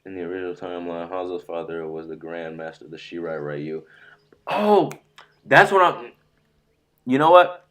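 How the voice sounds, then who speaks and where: A man streaming live about a fighting game, rambling and excitable, sounding stuffy from a sore throat. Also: deep voice, normal speed. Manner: excitable, rambling, says "bro" a lot. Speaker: gaming streamer.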